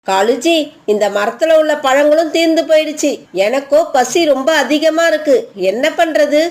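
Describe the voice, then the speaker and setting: A woman's voice: high voice, moderate pace, darkish tone, lightly acted. A woman voicing a haughty, greedy female crow in a children's cartoon, with a bossy, sneering manner. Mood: worried.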